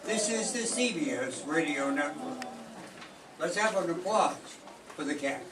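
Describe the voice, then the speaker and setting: A man's voice: a little high, expressive, measured pace. Brief: older male announcer; distant, roomy, noisy recording. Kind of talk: old-time radio announcer reading. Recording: echoey room, far from mic, loud background noise.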